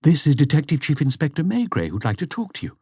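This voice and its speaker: A hospitable, uneasy woman in her thirties, as a male narrator puts her on, speaks in a lightly lifted, questioning tone. The audiobook sound is dry and the inflection lively. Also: a little high, fast talker, husky, no echo, pitched above the performer's own voice, exaggerated voice. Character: woman of thirty-four, hospitable but anxious girlfriend.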